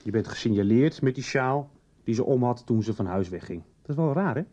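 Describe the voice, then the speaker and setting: An actor playing a stern, threatening police detective who questions sarcastically in a radio drama. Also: male voice, medium pitch, lively intonation, fairly quick, mellow, a little hiss or hum, natural voice. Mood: sarcastic, pressing. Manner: pressing, sarcastic interrogator. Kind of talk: 1990s radio drama acting. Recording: dull lo-fi 1990s radio drama.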